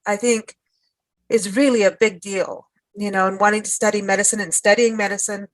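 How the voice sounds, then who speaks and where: A woman's voice: medium pitch, flat delivery, brisk, dry recording, low-quality recording. Chatty American-sounding woman radio host. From South Africa. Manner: chatty, rambling, frequent restarts and laughs. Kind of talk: casual conversational interview.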